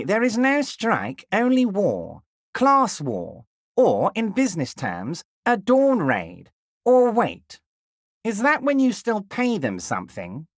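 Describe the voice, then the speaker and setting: An actor playing a heavyset, smooth-talking male union boss, honeyed and self-satisfied with a menacing charm, in game voice acting. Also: high voice, fairly bright tone, no room sound, studio quality, exaggerated voice. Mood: rallying.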